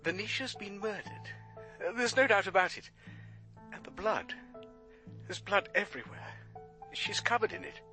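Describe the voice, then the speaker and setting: An actor playing a shaken, agitated male barrister in a radio crime drama, speaking haltingly. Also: sits high, bright, forceful tone, faint music behind. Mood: shaken, horrified.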